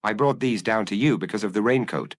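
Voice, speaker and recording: A man's voice: fairly low pitch, brisk, no room sound. A man voicing an eager press photographer in an audiobook, explaining his findings in careful detail. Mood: keen, explanatory.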